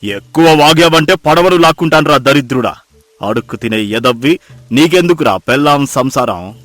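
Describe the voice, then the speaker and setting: A man's voice: medium pitch, normal speed, fairly bright tone, no room sound, natural voice. A man playing a cruel, swaggering rich village moneylender in a moral story, bullying and mocking as he threatens. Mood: contemptuous anger. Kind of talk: acted moral-story dialogue. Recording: music behind the voice.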